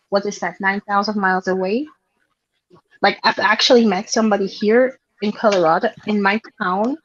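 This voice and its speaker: A woman's voice: medium pitch, fairly bright tone. A young woman from Switzerland, a guest in a casual podcast chat, telling stories in a chatty way and laughing often. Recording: dull lo-fi sound.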